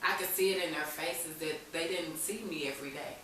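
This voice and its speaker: A woman's voice: sits low, a little fast, a bit bright. A woman telling her own story as an interview guest, speaking candidly and with resolve in a personal, conversational way. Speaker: talk show guest in recovery. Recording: very echoey room, distant mic, hiss.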